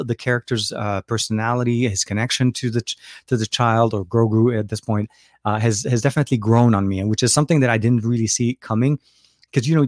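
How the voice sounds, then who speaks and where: A man from Lebanon hosting a casual livestream, chatting in a rambling, halting way with many restarts and fillers. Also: mid-range voice, no room sound, studio quality. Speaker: livestream host.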